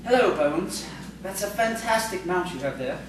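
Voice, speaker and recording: A woman's voice: lowish voice, lively intonation, normal speed, close to an everyday voice. A woman playing a polite, eager, bookish male schoolteacher in audio theatre, with a hesitant, formal manner. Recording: distant, very echoey, noisy stage recording.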